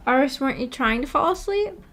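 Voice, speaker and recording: A woman's voice: high voice, lively intonation, a little fast, fairly bright tone. A woman hosting a livestream, chatting casually and reading out viewer comments in a giggly, chatty way. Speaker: streamer and host.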